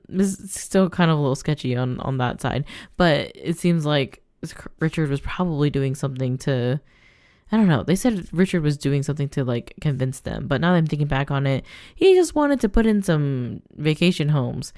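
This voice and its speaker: A woman giving casual, rambling gameplay commentary, thinking her thoughts out loud as she goes. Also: low voice, big pitch swings, moderate pace, dry recording, clean studio sound. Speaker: gaming let's player.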